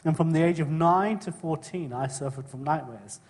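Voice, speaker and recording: A man's voice: a little high, normal speed, darkish tone. Man preaching in a reverberant hall. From born in Kenya, raised in England. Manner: conversational, asks the congregation frequent tag questions; clears throat often. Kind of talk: sermon with personal testimony.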